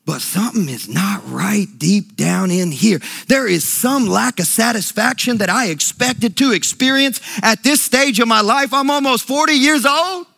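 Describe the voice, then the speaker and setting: Male preacher, sermon style, emphatic and sing-song, bright tone. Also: expressive, normal speed, gravelly, hardly any pauses. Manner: emphatic preaching, sing-song cadence, drops to a low hush. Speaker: pastor.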